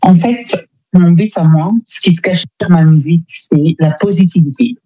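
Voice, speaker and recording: Casting: female singer as radio guest, soft-toned mellow voice, hesitant delivery, close dry studio sound. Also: on the low side, moderate pace, no room sound, dull audio. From Democratic Republic of the Congo. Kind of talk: conversational radio interview.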